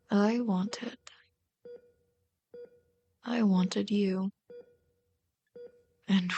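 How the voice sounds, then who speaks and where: A woman voicing a bitter, yearning female villain in an intimate ASMR roleplay, brooding and dramatic, slipping into breathy whispers. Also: medium pitch, a little mellow. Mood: wistful confession.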